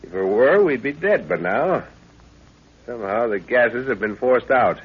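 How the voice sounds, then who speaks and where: A man's voice: medium pitch, lively intonation, measured pace, slightly rough. An actor playing an irritable, curious older professor and father, exclaiming and stammering in a 1930s radio drama. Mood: puzzled, intent. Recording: dull lo-fi 1930s radio recording.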